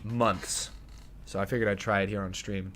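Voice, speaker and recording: A young man in his twenties hosting a casual livestream, thinking aloud and correcting himself, a bit hoarse, on a close studio mic in a small room. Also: on the low side, level tone, normal speed, fairly bright tone, slight background noise. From United States. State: slightly hoarse, tickle in throat. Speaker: livestream host. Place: small home streaming room. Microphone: headset and studio mic on arm.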